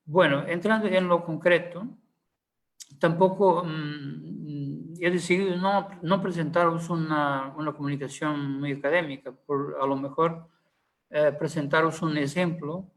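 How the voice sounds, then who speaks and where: A man's voice: fairly high pitch, fairly even intonation, unhurried. A man speaking Spanish with a Portuguese accent, a panelist giving a conference talk, hesitant with many fillers and restarts.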